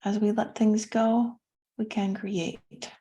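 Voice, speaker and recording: A woman leading a guided meditation as a teacher, speaking gently and soothingly with pauses between phrases. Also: medium pitch, level tone, highs cut off.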